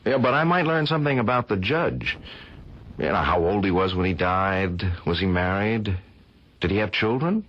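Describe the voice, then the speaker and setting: An actor playing an earnest, curious young student, polite and a little hesitant, in a radio drama. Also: male voice, on the low side, lively intonation, moderate pace. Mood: intent, curious. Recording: muffled old radio drama recording.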